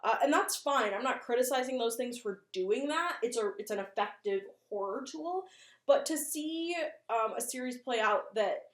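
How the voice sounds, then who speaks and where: A woman's voice: a little high, expressive, moderate pace. A woman giving casual video commentary as a host, chatty, rambling and self-deprecating. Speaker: host of a horror video channel. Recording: roomy, echoing room sound.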